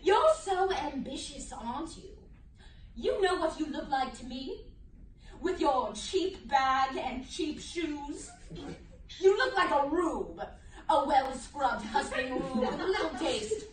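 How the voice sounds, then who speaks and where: A roomy recording of a woman playing a high-pitched puppet snail acting as a cultured cannibal doctor. The delivery is slow, theatrical and condescending, taunting with every drawn-out phrase, and sits a little far from the mic. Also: animated. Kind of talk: amateur dramatic reading with puppets. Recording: roomy, distant mic, faint noise.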